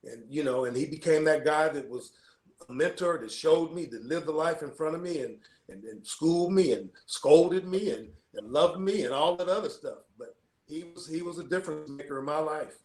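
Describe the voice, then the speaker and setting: A man's voice: fairly high pitch, normal speed, darkish tone, echoey room. A man, a guest coach, giving an earnest personal testimony and repeating key phrases for emphasis.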